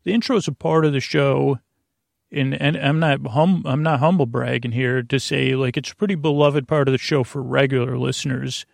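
A man hosting a bedtime podcast in a creaky, lulling voice, meandering and halting through tangents and self-interruptions. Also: mid-range voice, steady tone, dry recording, studio quality. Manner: soothing, lulling. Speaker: podcast host. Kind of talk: rambling bedtime monologue to help listeners sleep.

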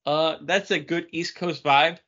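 A man's voice: on the high side, steady tone, unhurried, a bit bright, muffled recording. A man co-hosting a podcast, chatting casually and tossing in dry, joking asides. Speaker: podcast co-host. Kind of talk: casual bantering podcast chat.

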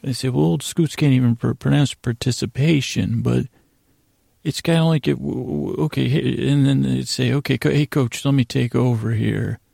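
A man hosting a sleep podcast with a rambling bedtime monologue, gravelly and halting, often trailing off mid-sentence and meandering on. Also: mid-range voice, steady tone, warm tone, no room sound, studio quality. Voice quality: gravelly, creaky.

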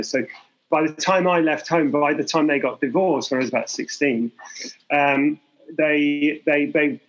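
A man in his forties from England talks candidly as an interview guest, rambling and self-deprecating, often restarting his sentences. His voice sits a little high. The recording is dull and lo-fi. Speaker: guest artist.

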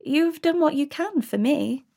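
A woman voicing a gentle, sad otherworldly young boy, speaking softly and quietly. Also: high voice, expressive, normal speed. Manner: soft, quiet delivery.

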